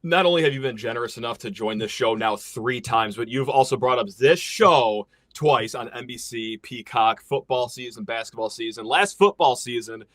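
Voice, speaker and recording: A man's voice: medium pitch, no echo, studio quality. A man hosting a sports podcast, chatting casually and joking with his guest. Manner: animated, joking, quick asides. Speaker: podcast host.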